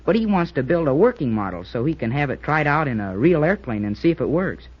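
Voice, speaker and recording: An actor playing an eager, joking schoolboy cadet in an old-time radio drama, talking in a chatty, earnest way. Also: male voice, on the high side, big pitch swings, quick pace. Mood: eager, confiding. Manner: chatty, boyish, earnest explaining. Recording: muffled vintage radio recording.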